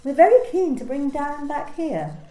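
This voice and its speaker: A woman's voice: high voice, very animated, normal speed, dark tone, roomy sound. A woman playing a cold, controlling company manager in an amateur radio play, speaking in a clipped, coolly formal way. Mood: stern. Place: home during lockdown.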